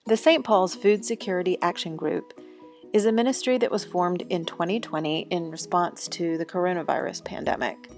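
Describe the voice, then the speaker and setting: A woman reads a steady, informative script in a lowish voice with a gravelly edge. Soft music plays faintly behind her. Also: moderate pace. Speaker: ministry volunteer. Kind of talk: scripted narration.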